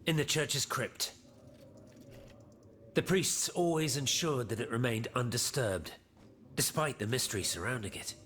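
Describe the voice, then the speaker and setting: A man playing an earnest, conspiratorial abbot in a video game, speaking gravely and formally. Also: medium pitch, unhurried, pauses often, fairly bright tone, natural voice. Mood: grave, urgent. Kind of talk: video game cutscene acting. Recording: faint background noise.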